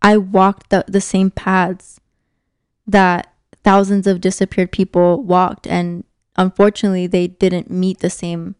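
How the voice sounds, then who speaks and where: A woman's voice: fairly low pitch, little pitch movement, a little slow, darkish tone, clear, resonant tone, no echo, clean studio sound. A young American woman, bilingual in Spanish and English, telling personal stories candidly and reflectively in casual conversation. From Phoenix, United States; born in Mexico. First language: bilingual native Spanish and English. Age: young adult. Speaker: interview guest.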